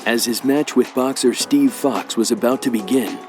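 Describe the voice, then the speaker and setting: A man's voice: medium pitch, moderate pace, close to an everyday voice. A man reading scripted dramatic narration as the narrator of a video game story. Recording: music behind.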